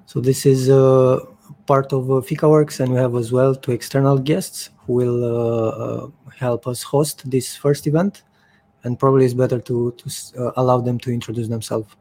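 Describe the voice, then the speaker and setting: A man hosting the first episode of a podcast over an online call, talking haltingly with many fillers and restarts. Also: mid-range voice, little pitch movement, warm tone. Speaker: podcast host.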